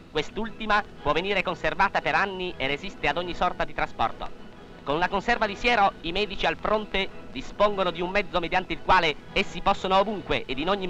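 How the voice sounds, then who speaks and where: A man's voice: sits high, bright, forceful tone, poor audio. A man reads scripted 1940s wartime newsreel narration in a formal, declamatory manner, on an old film soundtrack.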